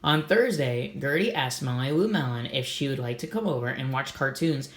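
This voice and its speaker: A man's voice: mid-range voice, moderate pace. Guy doing a read aloud for kids, explains stuff simply, roomy echoey sound. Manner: simple, encouraging explanations addressed to children. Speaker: read-aloud host for children. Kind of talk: storybook reading with informal commentary.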